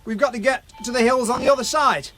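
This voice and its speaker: An actor playing a garrulous, grumbling, cheeky camel guide in a radio drama. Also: male voice, very high-pitched, fast talker, sharp tone, faint music behind, exaggerated voice. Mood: chatty, bluff. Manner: garrulous, complaining, hesitant explanations.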